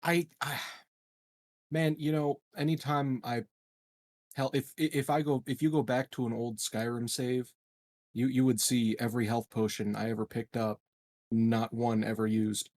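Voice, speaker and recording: A man's voice: medium pitch, little pitch movement, a little slow, a little mellow, no echo. A man chatting casually as a tabletop game player, with a wry, informal way of talking. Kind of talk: casual chat.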